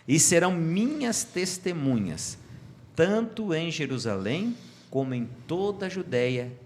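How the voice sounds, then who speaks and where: A man's voice: mid-range voice, slight background noise. Brazilian guy teaching bible lesson, chatty, jokey, sends lots of greetings. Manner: chatty and warm, sending greetings and joking. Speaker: Sabbath school lesson teacher. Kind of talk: casual Bible study chat.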